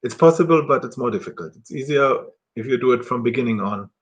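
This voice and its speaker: German man speaking halting English. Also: medium pitch, lively intonation. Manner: halting, repeats words, self-correcting English. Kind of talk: casual talk to camera.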